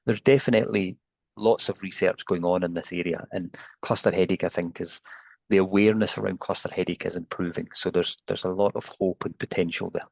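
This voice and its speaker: Scottish male scientist guest, very low gravelly voice, dry close sound, careful explainer. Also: fairly even intonation, fairly quick, a little mellow, no echo. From Scotland. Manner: thoughtful, hedging explanations with restarts. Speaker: headache neuroscientist guest. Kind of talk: podcast interview.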